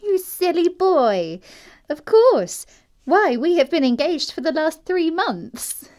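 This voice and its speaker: A woman plays a prim young society girl in a classic comedy audio drama. Her voice is high and her delivery precise and theatrical. She sounds dreamy yet completely self-assured. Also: sing-song, slow, darkish tone, heavily acted. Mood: dreamy, matter-of-fact.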